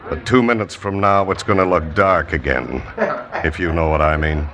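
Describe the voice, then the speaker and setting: An actor playing a sly, menacing claim-jumper in a 1950s radio western, with a slow, threatening drawl. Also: male voice, low voice, crowd noise, natural voice.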